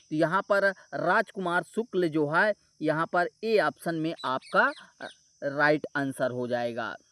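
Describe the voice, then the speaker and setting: A man's voice: sits high, fairly bright tone, no echo. Man teaching exam solutions, dry close sound. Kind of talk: explanatory exam solution walkthrough.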